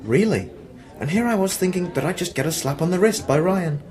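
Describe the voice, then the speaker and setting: A man's voice: sits high, lively intonation, brisk, crowd noise. A man playing a sarcastic, defiant newspaper reporter from the 1940s in an amateur radio play, dry and sardonic.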